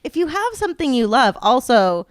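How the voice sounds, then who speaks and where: A woman's voice: high voice, sing-song, moderate pace, no room sound. A woman co-hosting a podcast, chatting casually and teasing her co-host playfully. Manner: playful teasing, acts out quotes. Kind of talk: casual chat.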